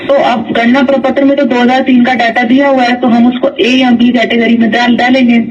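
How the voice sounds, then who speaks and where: A woman's voice: fairly high pitch, level tone, brisk, darkish tone. Muffled phone recording of a woman explaining. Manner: explanatory, step-by-step, mixes in English terms. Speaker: election official. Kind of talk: explaining procedure on a phone call. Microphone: phone call played aloud into a distant mic. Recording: muffled phone recording replayed, distant, noisy.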